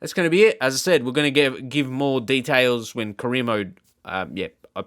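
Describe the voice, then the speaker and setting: A man hosting a gaming channel, giving casual, off-the-cuff reaction commentary in a rambling, halting, informal way. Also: medium pitch, fairly bright tone, no echo, studio quality.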